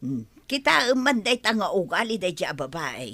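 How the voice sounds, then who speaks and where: A woman's voice: lowish voice, very animated, normal speed, bright, forceful tone, no echo, full character voice. A woman playing a chatty, gossip-loving older neighbour with a confiding manner and an Ilocano accent in a radio drama. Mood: gossipy. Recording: noticeable background noise.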